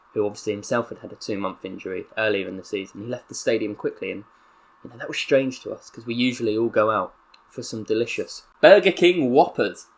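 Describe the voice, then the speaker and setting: A young man with a Southern English accent, playing a career-mode footballer who reads out a mock-solemn press statement. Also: lowish voice. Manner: mock-solemn with bursts of excitable commentary. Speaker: gaming YouTuber.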